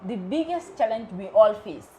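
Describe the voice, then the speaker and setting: A woman's voice: medium pitch, expressive, normal speed, warm tone, a little hiss or hum. A woman, an electrical engineer speaking as a guest in a TV interview, earnest and emphatic. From The Gambia. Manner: earnest and emphatic, slipping local words into her English. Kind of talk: live TV interview.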